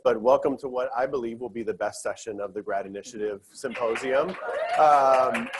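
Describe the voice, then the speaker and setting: Guy moderating a campus conference panel, earnest, lots of ums, mid pitch. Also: husky. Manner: earnest, many fillers and restarts. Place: conference session room.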